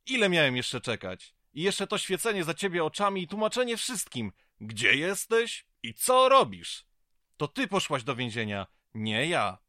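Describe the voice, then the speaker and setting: A patronising ex-boyfriend who defends himself sharply. His delivery is animated and forceful, recorded dry, in audio drama style. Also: on the high side, normal speed, no room sound. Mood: defensive, reproachful. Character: self-justifying, condescending ex-boyfriend who moved on.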